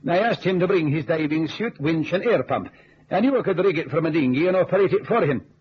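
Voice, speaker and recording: A man's voice: fairly high pitch, lively intonation, fairly quick, lightly acted. An actor playing an earnest Scottish ex-navy businessman in an old-time radio drama, on a muffled recording with hiss. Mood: measured, earnest. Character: earnest Scottish ex-navy businessman recounting deadly lake dives.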